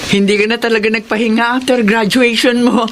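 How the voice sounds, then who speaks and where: A woman's voice: on the high side, fairly even intonation, brisk, slight background noise, lightly acted. An actress playing a kindly, encouraging middle-aged mother, reassuring in manner, in a Filipino radio drama.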